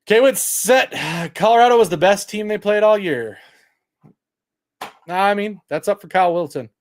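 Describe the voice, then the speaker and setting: Male sports host, higher-pitched, loud and projecting, exasperated post-game breakdown. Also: animated, moderate pace. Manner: reads stats aloud to chat. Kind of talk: post-game livestream commentary.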